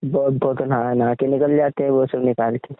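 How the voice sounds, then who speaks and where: A man's voice: medium pitch, little pitch movement, moderate pace, no room sound. Young man on a muffled phone call. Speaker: boyfriend on a call with his girlfriend. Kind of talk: casual intimate phone chat. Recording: muffled, band-limited phone call recording.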